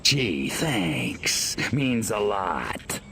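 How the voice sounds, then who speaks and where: An actor playing a cocky, sardonic alien invader in a video game, with a dry sarcastic drawl. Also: male voice, on the low side, big pitch swings, drawn-out words, sharp tone, slight background noise, heavily acted. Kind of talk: scripted video game cutscene acting.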